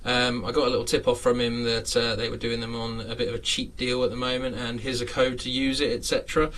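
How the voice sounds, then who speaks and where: A man's voice: mid-range voice, flat delivery, fast talker, fairly bright tone, far from the mic. A man in his late thirties, hosting a casual live chat from his home model railway room, rambling with lots of fillers and frequent chuckles. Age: 30s.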